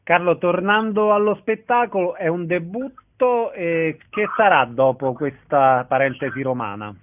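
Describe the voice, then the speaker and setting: A man's voice: on the high side, a little slow. Male interviewer with tinny, phone-like sound. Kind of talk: radio-style interview questions and sign-off. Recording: tinny phone-like narrow band.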